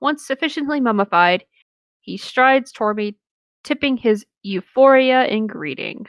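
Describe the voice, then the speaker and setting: A woman's voice: fairly high pitch, animated, measured pace, dry recording. A woman co-hosting a story reading, narrating in the first person with brief casual reactions. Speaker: co-host reading stories aloud.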